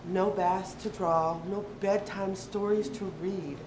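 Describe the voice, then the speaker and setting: A woman giving a dramatic reading of a literary piece, deliberate, with pauses between short phrases. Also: medium pitch, steady tone. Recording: echoey room with background noise.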